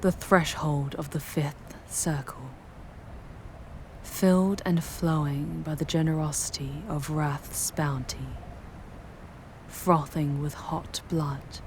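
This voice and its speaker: A seductive, ominous demoness played by a woman guides a mortal in an ASMR audio drama. Her voice is deep for a female and unhurried, with breathy, half-whispered phrasing. Faint noise and ambience sit behind the ornate, measured delivery. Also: poor audio. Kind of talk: scripted ASMR audio roleplay, dramatic monologue.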